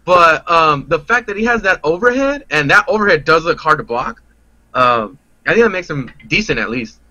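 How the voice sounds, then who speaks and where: A man's voice: fairly high pitch, animated, normal speed, sharp tone, no room sound, poor audio. A man chatting casually and analysing a game as a podcast guest, in slangy, conversational language. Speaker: guest player on a gaming podcast.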